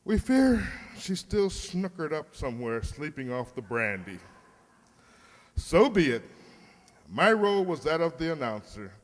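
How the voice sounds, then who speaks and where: Male announcer, arch and measured, lively intonation, reverberant hall with faint noise. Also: a little high. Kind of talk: scripted radio play announcing, wry.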